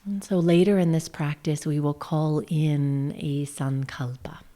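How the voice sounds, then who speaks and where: A woman guiding a meditation session in a gentle, soothing, measured manner, her phrases often trailing off into breathy whispers. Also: sits low, fairly even intonation. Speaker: yoga nidra guide, session host. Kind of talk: guided meditation narration.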